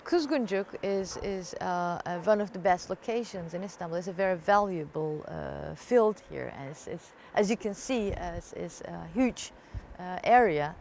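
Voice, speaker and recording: A woman talking casually in an unscripted interview, halting and repeating herself, with informal emphasis. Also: fairly low pitch, vocal fry, a little mellow, a little hiss or hum.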